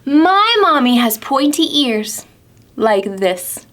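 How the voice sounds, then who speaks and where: A woman voicing a small lost baby owl who whimpers for its mother in a high childlike voice. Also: big pitch swings, slow, fairly bright tone, heavily acted. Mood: plaintive.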